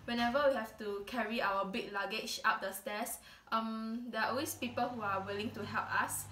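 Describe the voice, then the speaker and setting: A young woman, gently hesitant and grateful in an interview. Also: fairly high pitch, steady tone, moderate pace, reverberant, far from the mic, a little hiss or hum. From Singapore. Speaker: exchange student. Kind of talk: casual interview answers.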